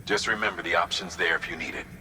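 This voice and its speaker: Game mission boss man, super crisp bright edge, low voice, steady orders, echoey. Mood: practical. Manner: measured, instructive. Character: authoritative, steady male mission commander. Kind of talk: video game mission briefing. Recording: long hollow reverb, some noise.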